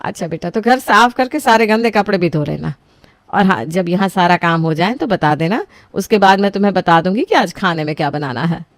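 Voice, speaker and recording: A woman's voice: on the low side, expressive, brisk, no echo, clean studio sound. A woman voicing a demanding middle-aged mother-in-law in a story, coaxing and bossy.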